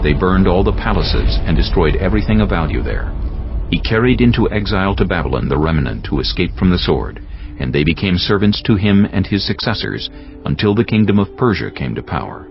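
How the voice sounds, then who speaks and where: A man narrating scripture for a dramatized audiobook in a solemn, measured storytelling style. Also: low-pitched. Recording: muffled, music bed behind.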